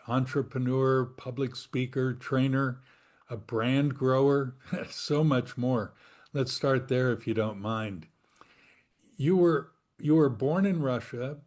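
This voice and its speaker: A man's voice: medium pitch, a little mellow. A man hosts a podcast interview with a mid-range voice. He asks his questions in an easygoing way, with the odd light chuckle. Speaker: podcast host.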